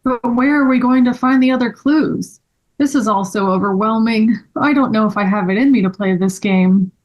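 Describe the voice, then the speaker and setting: A woman's voice: fairly high pitch, normal speed, darkish tone, close to an everyday voice. A woman playing an anxious, cautious, easily discouraged man in a children's mystery table read. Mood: overwhelmed.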